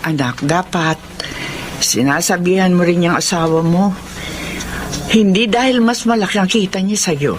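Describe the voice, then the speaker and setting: A woman's voice: fairly low pitch, normal speed, long pauses, natural voice. An actress playing a concerned, protective older mother in a Filipino radio drama, admonishing in a motherly way. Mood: protective, hurt. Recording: clearly noisy radio drama audio.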